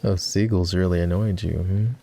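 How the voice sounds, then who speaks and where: A man playing a flirty, courtly vampire aristocrat in an intimate roleplay ASMR, with refined, formal, teasing speech in a low, gravelly murmur. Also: fairly even intonation, measured pace, warm tone, full character voice. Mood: amused, casual. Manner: refined, formal diction with playful teasing and sighs.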